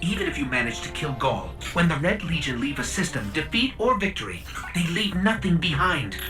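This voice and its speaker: An actor playing a small, earnest robot companion in a video game cutscene, speaking formally and precisely. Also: male voice, a little high, sing-song, a little fast, recorded from a distance, over music. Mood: stern.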